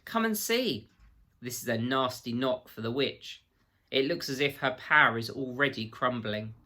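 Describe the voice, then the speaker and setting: A man voicing an excitable, kindly talking beaver in a children's storybook, calling out eagerly. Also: mid-range voice, moderate pace. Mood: delighted, triumphant. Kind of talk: children's storybook read aloud.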